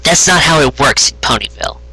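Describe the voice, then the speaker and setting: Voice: mid-range voice, animated, fairly quick, sharp tone, husky, exaggerated voice, low-quality recording. A storyteller voicing a casual, frank young female unicorn companion in a matter-of-fact way.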